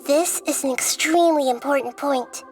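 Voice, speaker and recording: A woman voicing a young, gentle female goddess of wisdom who explains things in a calm, measured way. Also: high voice, lightly acted. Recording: game audio with faint music.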